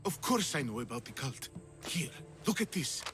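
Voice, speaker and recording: Game role: nervous artist in hiding, earnest, bright tone swinging widely, faint music underneath. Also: male voice, medium pitch, sing-song, normal speed. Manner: agitated, earnest. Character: anxious, fearful male artist on the run. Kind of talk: video game cutscene acting.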